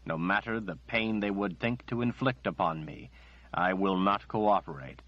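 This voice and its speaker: A grave older prophet speaks in a deep, husky voice, as a male narrator gives it. He is solemn and will not give in, heard on a dull, lo-fi recording. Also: expressive, measured pace, no echo, heavily acted. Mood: stern.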